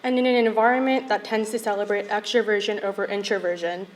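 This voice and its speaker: A young woman in her late teens, a graduating student, giving a measured, reserved speech at a podium microphone. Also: mid-range voice, fairly even intonation, poor audio. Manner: soft-spoken, reserved, measured. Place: school event hall.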